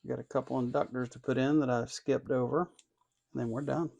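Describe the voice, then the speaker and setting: Man, creaky gravelly voice, mid pitch, casual hobbyist narration, unhurried, muttering to himself while working. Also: fairly even intonation, a little mellow. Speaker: electronics hobbyist host. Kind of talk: casual hands-on build narration.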